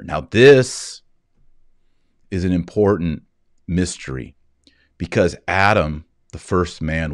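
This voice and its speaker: Deep-voiced man, earnest scripture teacher. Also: no echo, clean studio sound. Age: 40s. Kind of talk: scripture reading with devotional commentary. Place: indoors, wood-panelled office, seated at a desk.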